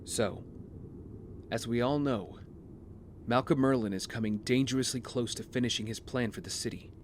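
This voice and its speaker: A man acting a brooding, guilt-ridden young vigilante hero in an audio drama, speaking in an earnest, measured, confessional way. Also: medium pitch, slight background noise, lightly acted. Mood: serious, resolved. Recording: music bed under the voice.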